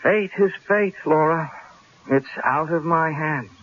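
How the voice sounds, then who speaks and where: An actor playing a cool, wry, unflappable newspaper columnist in a radio drama, with dry, deliberate phrasing. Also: male voice, on the high side. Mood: playful, wry. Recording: dull old radio recording.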